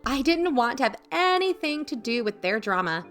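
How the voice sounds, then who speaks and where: A woman's voice: a little high, very animated, normal speed, a bit bright, soft background music. Woman playing a wry, skeptical young aunt. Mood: wary, wry. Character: wary, dryly skeptical young aunt, protective little-sister type. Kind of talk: first-person audio drama narration.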